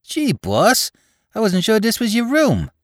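A cheeky young Bowery crook with a thick New York accent, as a male narrator puts him on, pitched high and pushed loud. He rattles off street slang in excited bursts, his voice leaping up and down. The sound is dry and close. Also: a little slow, no echo, exaggerated voice.

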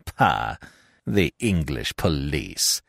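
A man's voice: very deep voice, big pitch swings, extremely slow, gravelly, a bit bright, no room sound, studio quality, voice pushed down, heavily acted. A man playing an arrogant male police detective who speaks rudely and with contempt. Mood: scornful. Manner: rude and disdainful.

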